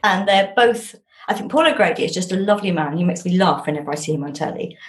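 A woman chatting casually as an interview guest, telling anecdotes in an animated way. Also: lowish voice, quick pace. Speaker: interviewed novelist. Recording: echoey, roomy sound.

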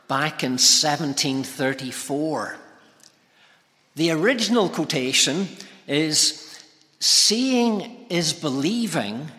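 A man preaching a sermon as a guest speaker, with a measured, deliberate delivery and emphatic phrasing. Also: fairly high pitch, sharp tone. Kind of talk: sermon with prayer. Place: large reverberant church hall. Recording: long hall reverb.

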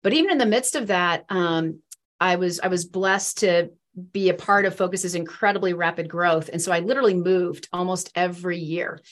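Earnest rambling Midwestern woman, lowish voice. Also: moderate pace. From East Central Illinois. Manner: earnest, rambling, heavy use of fillers. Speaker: guest. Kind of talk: faith testimony in a podcast interview.

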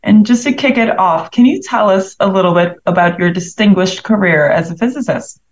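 A woman's voice: medium pitch, a little fast. A woman hosting a podcast interview, polite and effusive as she asks questions. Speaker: podcast host. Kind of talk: casual interview.

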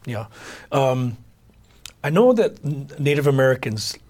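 A man's voice: medium pitch, very animated, normal speed, a little mellow. A middle-aged man, a podcast host telling a family story in casual chat, with many fillers and restarts. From Hawaii.

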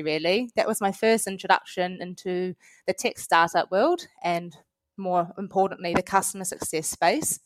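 A woman's voice: medium pitch, no room sound. A woman in her late twenties with a New Zealand accent, a guest answering interview questions in a chatty, friendly way. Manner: chatty, friendly, with many "you know" fillers. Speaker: guest, head of customer success.

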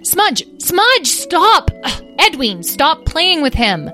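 A woman's voice: very high voice, sing-song, slow, sharp tone, faint music behind, pitched above the performer's own voice, heavily acted. A woman voicing a young bossy female golden dragon in charge of her siblings, scolding and exasperated.

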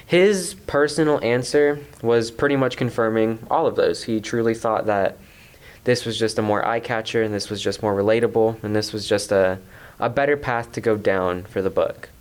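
A man's voice: lowish voice, moderate pace, clean tone. Wordy young man in a book discussion. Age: teen to young adult. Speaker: teen book club panelist.

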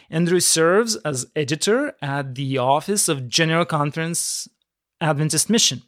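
A man hosting an interview podcast, speaking directly to listeners in a welcoming manner. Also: fairly high pitch.

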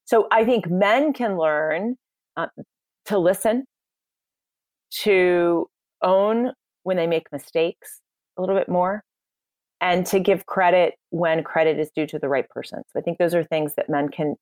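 A woman's voice: on the low side, measured pace, a little mellow, dry recording. Confident woman coaching on communication. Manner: confident, emphatic, advice-giving. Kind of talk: podcast interview answers.

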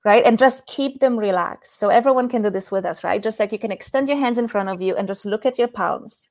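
A woman's voice: mid-range voice, fairly quick, a little mellow. A woman from Poland with a Polish accent, a guest in a podcast interview, speaking in an earnest, explanatory way and guiding listeners through simple exercises. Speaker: intimacy coach guest.

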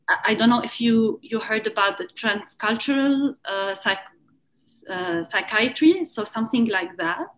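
A woman who speaks English as a second language, asking a question in a webinar in a halting, hesitant way over a video call. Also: medium pitch, slight background noise, poor audio. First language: not English. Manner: hesitant, halting, many pauses and fillers.

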